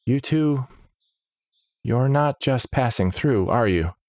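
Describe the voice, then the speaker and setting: A man's voice: lively intonation, slow, a little mellow, no room sound, studio quality. A man voicing a shaken young male recruit who talks anxiously in a raised, strained voice. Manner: anxious, questioning, higher and strained.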